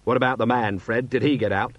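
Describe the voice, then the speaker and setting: A man's voice: mid-range voice, steady tone, quick pace, dry recording, muffled recording, close to an everyday voice. A man voicing a weary, dry-humoured male watch commander in a storybook, battered and muttering. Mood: exhausted. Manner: wry, tired, muttering. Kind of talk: audiobook character voice.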